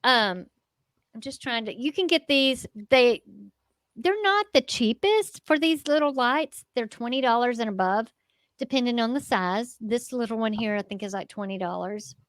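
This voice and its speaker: A woman's voice: a little high, lively intonation, normal speed, no room sound, studio quality. A middle-aged American woman co-hosting a show with casual, folksy, unscripted chat peppered with mild swearing. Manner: folksy, salty-tongued. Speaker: co-host of a paranormal show.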